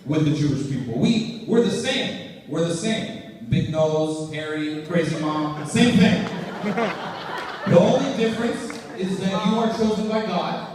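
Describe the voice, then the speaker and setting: A young man with a high voice does an animated stand-up set, often restarting his sentences. He is heard from a distant mic in an echoey conference hall. A noisy crowd sits behind him. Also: a little slow. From United States. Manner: animated storytelling with frequent restarts. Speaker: stand-up comedian. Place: conference stage before a live audience.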